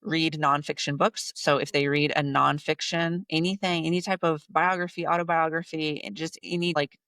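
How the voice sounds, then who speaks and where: A woman's voice: low voice, steady tone, normal speed, fairly bright tone, no echo. A woman in her thirties, a guest on a podcast, talking bluntly and emphatically and repeating points for effect. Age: 30s. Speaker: podcast guest, business coach. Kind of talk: casual interview talk.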